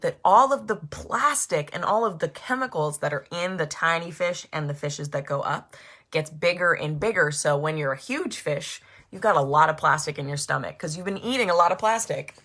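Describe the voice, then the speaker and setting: A woman reading and explaining a storybook for children in an expressive, animated way, speaking directly to young listeners. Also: low-pitched, normal speed.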